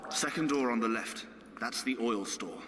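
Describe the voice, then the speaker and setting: A man's voice: medium pitch, normal speed, background noise, natural voice. An actor playing a proud, defiant young nobleman in a fantasy video game, with formal, resolute delivery. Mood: urgent. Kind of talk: dramatic game dialogue.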